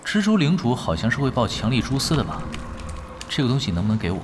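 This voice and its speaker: An actor playing a calm, self-assured expert online gamer in an audio drama, casual and matter-of-fact. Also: male voice, mid-range voice, fast, noisy background, lightly acted. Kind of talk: Chinese audio drama acting.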